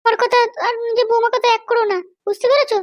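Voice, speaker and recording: A man voicing a bossy, short-tempered middle-aged cartoon wife with a West Bengal accent and a pitched-up voice. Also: fairly even intonation, quick pace, dry recording. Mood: scolding. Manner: scolding, threatening. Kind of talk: comic cartoon dialogue.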